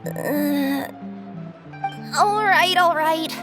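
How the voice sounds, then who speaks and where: A woman's voice: very high voice, drawn-out words, music in the background, full character voice. A woman voicing a young, good-natured cat yokai courier in a video game, girlish, earnest and easily flustered. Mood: evasive. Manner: apologetic, earnest, hesitant when cornered. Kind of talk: animated video game voice acting.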